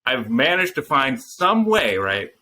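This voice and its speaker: A man talking earnestly and thoughtfully as a founder guest in an interview, pausing with frequent ums. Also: mid-range voice, big pitch swings, bright, forceful tone. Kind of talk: casual interview answers.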